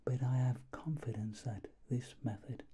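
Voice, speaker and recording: A man performing an ASMR doctor roleplay in a soft, breathy whisper and low murmur, gently and politely. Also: steady tone, measured pace.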